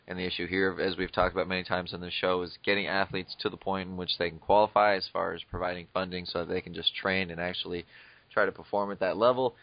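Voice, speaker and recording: A male podcast host chats casually with his co-host, filling his sentences with fillers and amused asides. His voice is fairly low. The sound is dry and dull, as if from a lo-fi setup. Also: dry recording.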